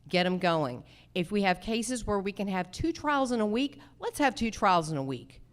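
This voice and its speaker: A woman's voice: medium pitch, sing-song, normal speed. A woman candidate giving emphatic, punchy answers at a political forum.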